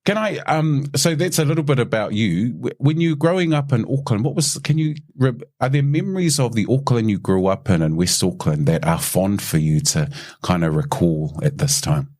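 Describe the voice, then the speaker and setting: A man's voice: medium pitch, expressive, moderate pace, a little mellow. A man hosting a casual podcast interview, teasing his guest in a friendly way and often restarting his questions. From New Zealand. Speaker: podcast host.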